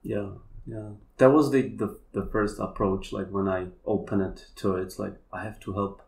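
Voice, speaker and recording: A man with a Polish accent, a native Polish speaker, talking in a halting, searching way as a podcast guest. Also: fairly low pitch, fairly even intonation, creaky, a little mellow, slight background noise. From Poland. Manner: halting, searching for words, many fillers. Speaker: kambo practitioner guest. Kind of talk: casual conversation.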